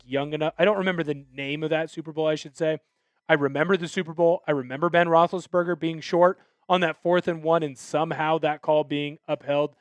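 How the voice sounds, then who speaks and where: Man, young adult, live football talk host, opinionated, high voice. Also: smooth, dry recording. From Washington State, USA. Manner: opinionated, fired-up fan talk, reads viewer texts aloud. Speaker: sports show host. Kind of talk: live sports talk stream.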